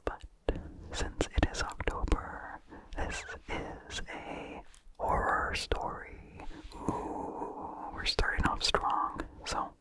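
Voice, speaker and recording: An ASMR host reading in a breathy, unvoiced whisper, slowly and calmly, with careful enunciation. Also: poor audio. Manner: slow, calm, careful enunciation. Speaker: ASMR host and story reader.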